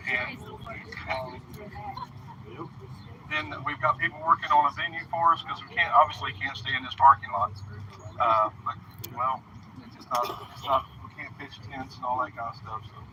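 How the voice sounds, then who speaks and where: Lively bright-voiced man giving orders. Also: medium pitch, dull audio, a little hiss or hum. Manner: brisk, practical, hesitant fillers. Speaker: convoy organizer. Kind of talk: giving instructions to a group. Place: outdoor parking lot.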